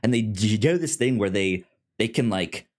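Podcast host with a lively, halting delivery. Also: medium pitch. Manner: halting, repeats and stammers on words. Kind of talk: casual review chat.

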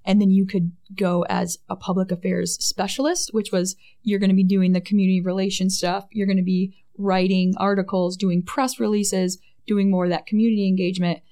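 A woman's voice: medium pitch, level tone, a little fast, few pauses, dark tone. A woman in her thirties with a Midwestern American accent, chatting casually as an interview guest, often adding "right?" and "like". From Michigan. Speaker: guest, Air Force public affairs NCO.

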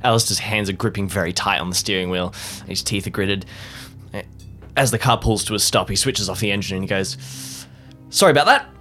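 A man's voice: sits low, fairly even intonation, brisk, sharp tone, soft background music. A man playing a tabletop game, narrating his character and chatting casually.

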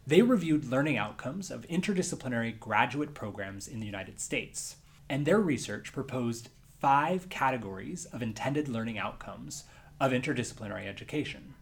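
A male university lecturer giving measured, scripted narration about a course. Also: mid-range voice, expressive, vocal fry.

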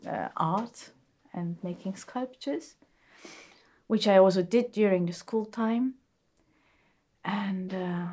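Halting Austrian-born woman shoemaker. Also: mid-range voice, darkish tone. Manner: halting, searching for words, many fillers. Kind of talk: interview answers.

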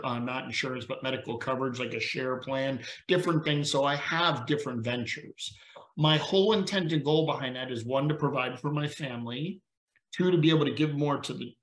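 A middle-aged man talks earnestly over a video call, leaning into stories and lessons like a lay preacher. His voice sits at an ordinary pitch, and the room around him rings with a noticeable echo. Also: fairly bright tone. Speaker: podcast guest. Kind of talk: casual conversation.

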